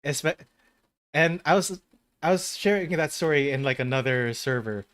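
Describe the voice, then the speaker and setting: A man's voice: fairly high pitch, moderate pace, a bit bright, clear, resonant tone, no echo. A man hosting a casual gaming stream chat. He rambles, corrects himself mid-sentence and laughs easily. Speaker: host of a gaming channel.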